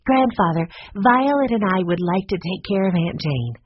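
A storybook narrator voicing an earnest, caring young girl who is eager, polite and gentle. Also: medium pitch, animated, moderate pace, muffled recording, slight background noise, close to an everyday voice. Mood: earnest pleading.

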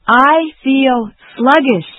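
A woman repeating short phrasebook sentences slowly and deliberately in a drill. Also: high-pitched. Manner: slow, careful, deliberate. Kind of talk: slow repetition drill. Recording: dull dry lo-fi audio.

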